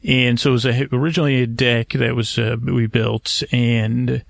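A man hosting a bedtime podcast, rambling in a halting, meandering way, often interrupting himself and getting mixed up, with a creaky, gravelly voice. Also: mid-range voice, level tone, no room sound. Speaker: podcast host. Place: homemade screened outdoor porch at night.

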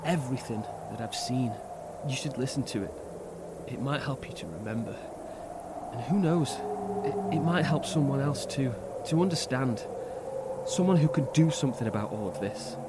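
An actor playing an ailing, desperate husband and father in a horror audio drama, speaking tenderly and urgently in halting phrases. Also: medium pitch, expressive, heavy background noise, close to an everyday voice. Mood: strained, halting.

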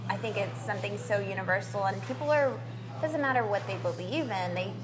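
A woman's voice: mid-range voice, fairly quick, poor audio. A woman in her thirties from Australia, a tantra teacher talking earnestly and reflectively as a guest in a casual interview. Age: 30s. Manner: earnest, reflective, speaks in flowing run-on thoughts.

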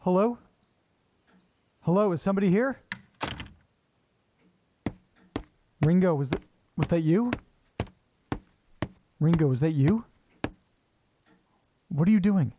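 An actor playing a restless, sweary man who mutters to himself and his pets in a naturalistic horror audio drama, casual and deadpan. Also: high voice, a little slow, long pauses, a little hiss or hum. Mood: alarmed, concerned.

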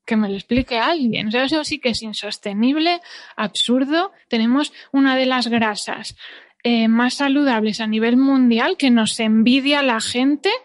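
A woman's voice: on the high side, moderate pace. A woman with an accent from Spain explaining things as an expert podcast guest in a didactic, emphatic, conversational way. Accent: Spanish from Spain. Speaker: dietitian-nutritionist, podcast guest.

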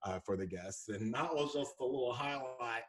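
A man's voice: mid-range voice, moderate pace, a bit bright. A man from Texas with a faint Texan accent, chatting as a podcast guest and laughing often. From Houston, Texas. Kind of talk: casual chat.

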